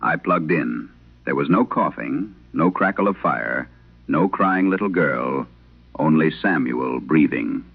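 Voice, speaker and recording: A man narrating a scripted vintage radio horror tale in the first person, in a grave, measured, gravelly voice. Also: very deep voice, expressive. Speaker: narrator of a horror audio drama. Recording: muffled, band-limited vintage radio sound.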